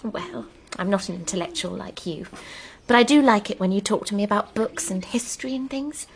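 An actress playing an affectionate, artless, cheerful English vicar's wife with a chatty manner, in a radio drama. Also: female voice, medium pitch, brisk, a little hiss or hum, lightly acted. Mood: fond, coaxing.

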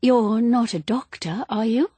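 A woman puts on an excitable, prying housewife in a storybook, voice lifted high and quick to push. She sounds husky, recorded dry and close. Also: no room sound. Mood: eager gossip. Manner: eager, breathless, prying. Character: excitable gossiping plump middle-aged woman.

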